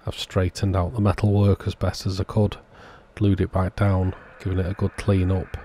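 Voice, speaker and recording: Low-pitched, mellow man narrating a repair. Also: monotone, normal speed, slight background noise. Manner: matter-of-fact, step-by-step explaining. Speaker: host of a radio repair channel. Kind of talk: informal scripted-sounding voice-over narration of a repair.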